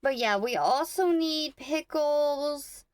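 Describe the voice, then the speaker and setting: A woman with a somewhat high voice chats slowly and unhurriedly through a late-night crochet vlog, wandering off track and correcting herself as she works. Her throat sounds a little rough, and the sound is close and dry with no room around it. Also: expressive, no echo. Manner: rambling, self-correcting, talks to viewers while working. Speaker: crochet vlogger. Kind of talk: casual chatty vlog.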